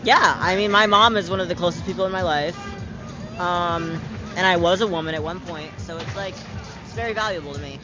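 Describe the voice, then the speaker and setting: A man's voice: high-pitched, fairly quick, highs cut off, music in the background, crowd noise. A young man in his late teens, answering a street interviewer candidly, with lots of fillers and casual swearing. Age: young adult. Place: outdoors in a city park.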